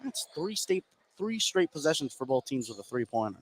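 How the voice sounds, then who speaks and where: A man's voice: mid-range voice, sing-song, brisk, a little hiss or hum. A man working as a color commentator on live basketball, explaining plays in casual, conversational remarks. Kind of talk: live sports analysis, conversational.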